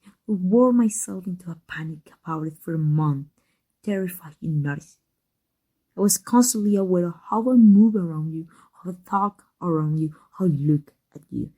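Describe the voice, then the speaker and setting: A woman playing an embittered villain secretly in love with a rival, in an intense ASMR roleplay monologue, husky and breathy, often dropping to a whisper. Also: fairly low pitch, moderate pace, mellow, lightly acted. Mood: anguished confession.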